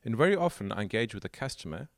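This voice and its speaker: A man with a South African accent hosting a tech podcast, explaining at length in a casual way with pauses and fillers. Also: on the low side, big pitch swings, no room sound, clean studio sound. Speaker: podcast host.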